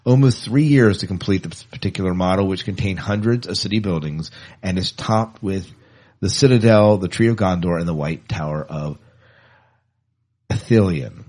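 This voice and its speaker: A man's voice: sits low, normal speed, a little mellow. A man co-hosting a podcast, chatting casually and reading odd news items in a jokey, enthusiastic way. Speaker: podcast co-host.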